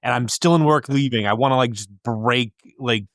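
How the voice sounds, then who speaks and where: A man with a middle-pitched voice co-hosts a comedy podcast. He grumbles wryly in loose, casual talk, close on the mic with no audible room. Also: normal speed, a bit bright, no room sound. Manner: grumbling, wry. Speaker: podcast co-host. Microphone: close mic on a group remote call.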